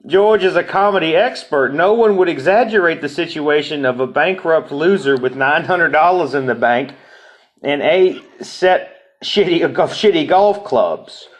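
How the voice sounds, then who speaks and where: A man's voice: fairly high pitch, measured pace. A man with a mocking manner gives an informal dramatic reading of online comments.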